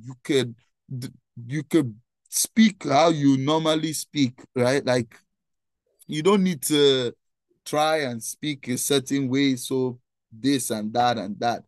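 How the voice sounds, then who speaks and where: A man's voice: medium pitch, dry recording. Earnest Nigerian man, dry close sound. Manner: earnest, repeats "right?" often. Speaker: guest, nonprofit founder. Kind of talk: conversational interview answer.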